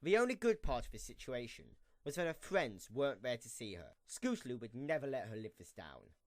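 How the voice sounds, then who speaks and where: A man's voice: medium pitch, sing-song, brisk, dry recording. A man narrating a scripted story for an amateur radio play, reading in a storybook style.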